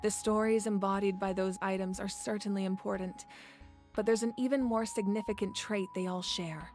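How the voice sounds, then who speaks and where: A woman voicing a composed, wise warrior leader in a video game, measured, formal and reassuring. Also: mid-range voice, steady tone, darkish tone, soft background music, lightly acted. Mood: calm, resolute.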